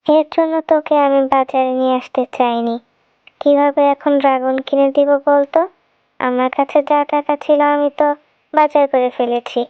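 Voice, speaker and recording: A woman voicing a humble, gentle widowed mother fox in a children's cartoon story. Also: high-pitched, fairly even intonation, moderate pace, dry recording, phone-quality sound, close to an everyday voice. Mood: explaining.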